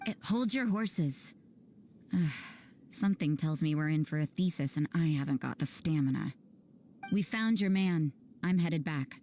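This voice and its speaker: An actress playing a weary, aloof church sister with a dry, blunt delivery in a fantasy game. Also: female voice, deep voice, a little slow, dark tone, a little hiss or hum. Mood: impatient, weary. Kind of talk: scripted fantasy game dialogue.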